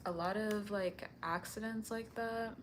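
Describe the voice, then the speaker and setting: A young woman livestreaming while she animates, chatting casually and answering viewer comments in a soft, sweet-toned voice. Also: mid-range voice, steady tone, measured pace, a little hiss or hum.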